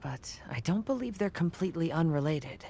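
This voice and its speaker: A woman playing a calm, polite and cautious young traveler in a video game, reserved and earnest. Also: deep voice, normal speed, creaky, a little hiss or hum. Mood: thoughtful. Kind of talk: video game character acting.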